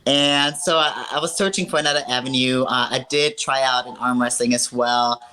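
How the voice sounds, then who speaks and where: A woman in her thirties from Austria, a strongwoman athlete telling her story with earnest upbeat warmth in a casual call interview. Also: very deep voice, fairly even intonation, normal speed.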